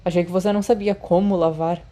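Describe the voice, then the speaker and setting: A woman's voice: lowish voice, steady tone, fast, dark tone, natural voice. A woman voicing an earnest, irritable teenage schoolboy wizard in a dry, urgent manner, for a Brazilian audiobook. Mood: irritated.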